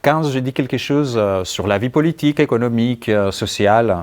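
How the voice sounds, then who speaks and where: Man, Greek accent, native Greek speaker, mid-pitched voice, halting, reflective, conversational author interview. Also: darkish tone. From Greece. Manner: halting, searching for words, thoughtful. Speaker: writer being interviewed. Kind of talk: conversational answers at a book talk.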